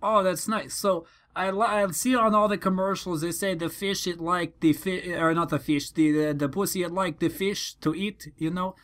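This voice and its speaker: Man acting foreign husband, high voice, broken English, naive, halting, repetitive, prank phone call. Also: fairly even intonation, fairly bright tone. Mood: naive, earnest. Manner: halting, repetitive, mock-innocent questioning.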